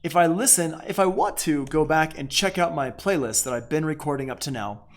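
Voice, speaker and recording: A man's voice: on the high side, brisk. A man teaching music production in a casual, informal tutorial, thinking aloud as he works. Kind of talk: casual screen-share software tutorial.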